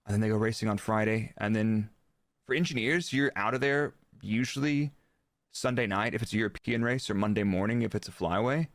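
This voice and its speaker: A man's voice: fairly low pitch, fairly quick, no room sound, clean studio sound. A man talking casually as an interview guest, explaining technical work in the manner of an engineer. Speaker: guest, former Formula One performance engineer.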